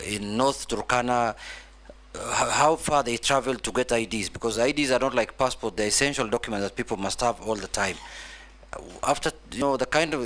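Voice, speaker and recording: Casting: Kenyan male legislator, medium pitch, halting, repetitive, formal but unscripted questions. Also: fairly bright tone. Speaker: member of parliament questioning a nominee. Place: large parliament chamber.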